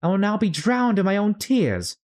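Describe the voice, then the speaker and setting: A man voicing a polite, anxious young girl in a storybook, with a high, light voice and an earnest, pleading manner. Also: very animated, normal speed, darkish tone. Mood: dismayed.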